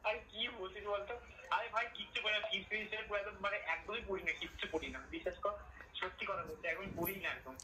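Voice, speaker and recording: A man's voice: high-pitched, steady tone, rapid-fire, pushed and bright. A young man chatting casually as he answers a phone call, heard through a phone speaker. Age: young adult. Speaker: prank call recipient. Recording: distant noisy voice over phone speaker.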